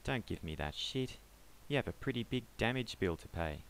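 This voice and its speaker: A man reads a fed-up, sneering driver in an audiobook, a deep voice nudged higher. The lines come out scornful and biting. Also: expressive, normal speed, slight background noise, low-quality recording. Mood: angry. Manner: scornful, sarcastic. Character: gruff, sarcastic angry driver, middle-aged man.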